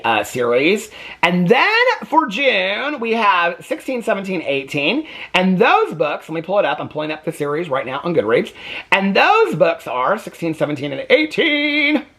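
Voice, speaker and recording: A man with a very lively, swooping pitch and a husky edge to his voice. He talks casually as a book review vlogger, candid and opinionated, often hedging and looping back over a point. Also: measured pace.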